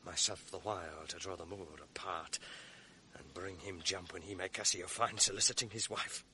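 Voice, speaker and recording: An actor playing a scheming, venomous male ensign in a 1980s radio drama, dropping from hushed plotting asides to loud, mocking bluster. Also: sits low, fairly even intonation, moderate pace, a little hiss or hum.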